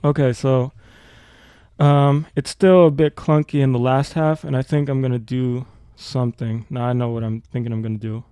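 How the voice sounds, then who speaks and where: A man explaining audio editing informally, thinking aloud as he works through a software tutorial. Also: medium pitch, little pitch movement, moderate pace, faint music behind.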